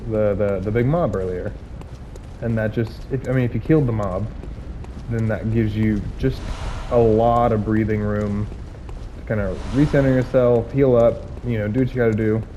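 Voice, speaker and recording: A man giving casual gameplay commentary, hoarse from illness, rambling with many fillers and restarts. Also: on the low side, warm tone, a little hiss or hum, low-quality recording.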